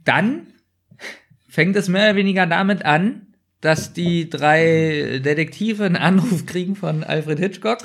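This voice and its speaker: Casual man with a higher-pitched voice. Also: unhurried, fairly bright tone. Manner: colloquial, speaking in short reactions and questions. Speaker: podcast co-host, newcomer asking questions. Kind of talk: casual chat.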